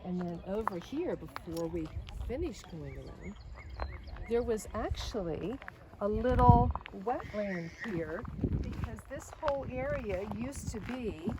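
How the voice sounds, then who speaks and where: A woman's voice: medium pitch, animated, unhurried. Older woman guiding children, noisy outdoor recording. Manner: explanatory, addresses children directly. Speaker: volunteer story reader and garden guide. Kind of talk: read-aloud for children, informal guided tour. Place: outdoors in a botanic garden. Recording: outdoor recording with clear background noise. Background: outdoor ambience, noise.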